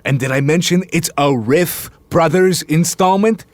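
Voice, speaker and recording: An actor playing a brash, pushy talent agent who flatters and cajoles like a salesman in a naturalistic audio drama. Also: a little high, unhurried, no room sound, close to an everyday voice. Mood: persuasive, upbeat. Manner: slick, cocky salesman banter.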